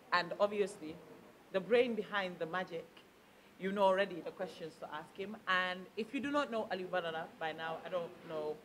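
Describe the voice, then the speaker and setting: A woman's voice: mid-range voice, rough recording. Big-voiced upbeat woman moderator. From Gambia. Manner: big projecting voice, upbeat and chatty. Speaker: press conference moderator. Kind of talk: lively press conference hosting.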